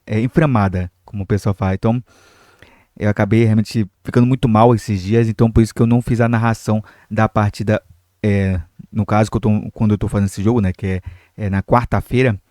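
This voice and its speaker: A man's voice: fairly low pitch, fairly even intonation, a little fast, warm tone, dry recording, clean studio sound. A young Brazilian man with a cold hosting a football commentary channel, talking casually to his viewers with lots of fillers. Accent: Brazilian Portuguese. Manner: chatty, many fillers, addresses viewers directly. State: recovering from a cold, irritated throat.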